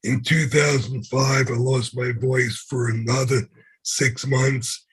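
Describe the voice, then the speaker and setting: Hoarse slow-talking New York man. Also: medium pitch, level tone. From Brooklyn, New York. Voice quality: hoarse, damaged voice. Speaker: podcast guest. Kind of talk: interview storytelling.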